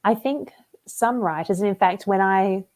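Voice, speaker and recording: A woman with an Australian accent, a debut novelist talking about her book in a relaxed, chatty live interview over a video call. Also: mid-range voice, measured pace. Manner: chatty and relaxed, with frequent "like" and "um" fillers.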